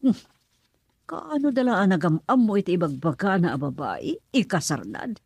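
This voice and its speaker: A woman's voice: on the low side, big pitch swings, normal speed, vocal fry, a little mellow, breathy edge, dry recording, full character voice. A woman with a Filipino accent acting in a radio drama as an older, cautious, probing mother. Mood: musing.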